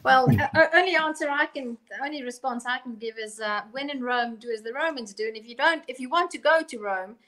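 A middle-aged woman giving her opinion haltingly, repeating phrases, in a casual panel discussion on a video call. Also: high-pitched, fairly bright tone, rough recording. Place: room at home.

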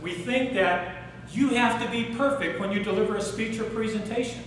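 A middle-aged man giving a workshop talk on public speaking, animated and asking the audience questions in a hotel conference room. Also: high-pitched, moderate pace, slight background noise. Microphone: far camera, no mic seen. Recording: echoey room recorded from far off.

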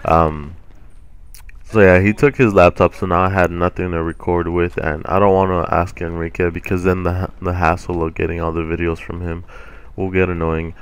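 A young man hosting a gaming channel, rambling casually over gameplay with a deep voice and many ums, his throat a little sore. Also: little pitch movement, normal speed, clean tone. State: sore throat from swollen tonsils.

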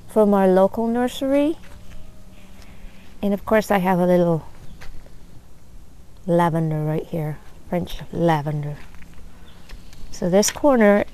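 A woman gives an unhurried, admiring tour of her backyard garden, filming on a handheld phone outdoors. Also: medium pitch, dark tone, poor audio. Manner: unhurried, admiring, many fillers. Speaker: gardener vlogger. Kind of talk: casual garden tour narration.

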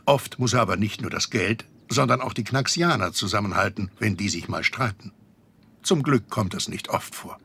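An actor narrating a children's audio drama as a genial storyteller. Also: male voice, lowish voice, moderate pace, creaky, fairly bright tone, husky, heavily acted. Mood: storytelling. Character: genial adult male storyteller.

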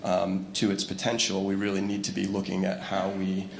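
Low, flat, gravelly man on a panel. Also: a little hiss or hum. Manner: measured, many fillers, restarts. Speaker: startup CEO panelist. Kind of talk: conference panel discussion. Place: large conference room with audience. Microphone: handheld mic. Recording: echoey hall, faint ambience.

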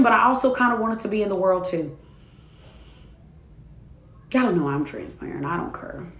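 A woman's voice: fairly high pitch, echoey room. A woman in her twenties, a minister and life coach, preaching her testimony to viewers in an emphatic, repetitive cadence. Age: 20s.

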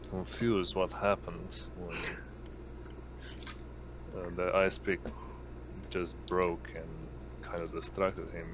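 Deep-voiced, slow-talking man running a game. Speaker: game master. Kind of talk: running an online tabletop roleplaying game. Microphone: online voice chat. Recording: background noise on voice chat.

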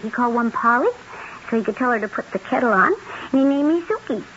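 A woman's voice: a little high, animated, fast, fairly bright tone. An actress playing a tipsy, maudlin party girl in her twenties, girlish and drifting, in a 1970s radio drama. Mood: giddy, tipsy. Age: 20s. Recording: lo-fi, faintly noisy 1970s radio recording.

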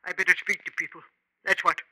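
An actor playing a folksy, nosy janitor who rambles suspiciously, in a 1950s radio drama. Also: male voice, sits high, level tone, fast, bright, forceful tone. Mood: suspicious, hesitant.

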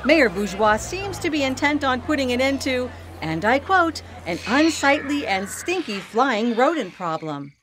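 A woman playing a brisk, polished TV news anchor in an animated game, announcing crisply and dramatically. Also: high voice, noisy background. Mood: brisk, dramatic. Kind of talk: cartoon television news bulletin.

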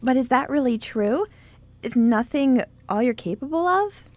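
A confident young girl, played by a woman in an amateur radio drama, coaxing and encouraging. The voice sits fairly high and sounds sure of itself. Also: expressive, normal speed, a little mellow, no room sound. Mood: friendly, curious. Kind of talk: amateur radio play acting.

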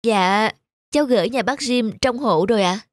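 A woman playing a gentle, weary young mother in a cartoon, speaking softly and politely. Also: on the high side, normal speed, no room sound, natural voice. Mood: polite, apologetic. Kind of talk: animated cartoon dubbing.

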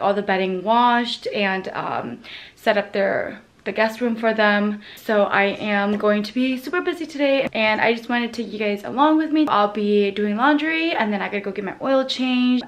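A young woman chatting casually and informally to camera in a slangy vlog style, recorded at arm's length. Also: mid-range voice. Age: young adult. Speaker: vlogger and mother. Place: small rooms at home and inside a car. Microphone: camera held at arm's length. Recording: clear background noise, car hum.

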